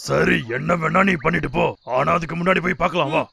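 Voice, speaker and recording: A man's voice: on the high side, steady tone, quick pace, vocal fry, pushed and bright, full character voice. A man voicing a big older bear brother in a cartoon dub, bossy and matter-of-fact.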